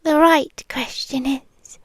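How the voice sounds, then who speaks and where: A British woman voicing a possessive, lovesick, centuries-old vampire girlfriend in an intimate ASMR roleplay, hushed and breathy, often slipping into whispers. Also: high voice, steady tone, takes it slowly, dry recording. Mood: yearning, obsessive. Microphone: close microphone, dry.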